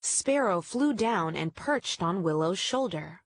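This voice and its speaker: A woman storyteller reading a scripted children's story aloud in deliberate, measured phrases. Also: fairly low pitch, animated, no room sound, studio quality.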